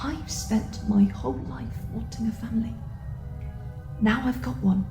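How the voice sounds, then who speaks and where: Actress as young apprentice heroine, defiant, intense, unhurried, reverberant, music underneath, audio drama. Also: female voice, on the high side, dark tone, close to an everyday voice. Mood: bitter, wounded. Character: young woman Jedi apprentice, conflicted and defiant.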